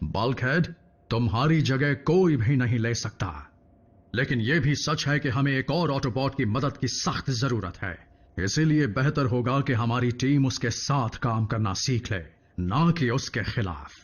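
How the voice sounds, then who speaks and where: An actor playing a noble, authoritative robot commander in a cartoon dub, speaking in a formal, measured way. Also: male voice, medium pitch, natural voice. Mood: earnest. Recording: muffled dub with faint music.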